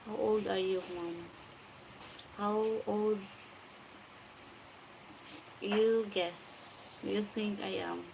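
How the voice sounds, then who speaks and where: A woman's voice: medium pitch, steady tone, very slow, gravelly, long pauses, darkish tone, slight background noise, rough recording. A Filipino woman in her forties with a Filipino accent, chatting on a casual late-night home livestream and greeting viewers by name as she reads their comments aloud. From Philippines. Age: 40s. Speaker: livestream vlogger.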